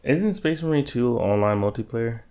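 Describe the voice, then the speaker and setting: A man's voice: mid-range voice, lively intonation, moderate pace, warm tone. A man co-hosting a podcast in a casual, joking conversational style. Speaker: podcast co-host.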